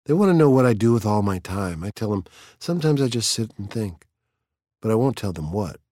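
A man's voice: lowish voice, sing-song, a little fast, dark tone, dry recording. A man voicing a peculiar, dreamy teenage girl of nearly seventeen, whimsical and earnest in her chatter.

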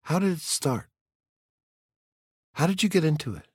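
A whimsical, peculiar teenage girl who chatters earnestly and wanders off into her own thoughts, as a male reader puts her on. Her voice sits lifted and bright, with a dry, close sound. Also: on the high side, expressive, moderate pace, breathy edge, no echo, pitched above the performer's own voice. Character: dreamy, peculiar teenage girl, nearly seventeen.

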